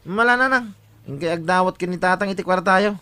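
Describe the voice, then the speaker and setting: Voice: medium pitch, brisk, bright, forceful tone, no echo, lightly acted. An actor with an Ilocano accent playing a wheedling, boastful teenage son in an audio drama. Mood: cajoling. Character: teenage son, wheedling, boastful student begging money from parents. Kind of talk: Ilocano radio-style drama acting.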